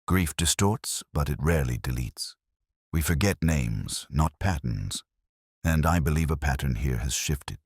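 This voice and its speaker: A man performs a commanding Victorian detective in an audiobook mystery. The voice is extremely deep yet moves expressively, recorded close and dry. The phrasing is deliberate, exact and coolly analytical. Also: expressive, darkish tone, breathy edge, no echo, heavily acted. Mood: incisive. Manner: precise, deliberate, deductive.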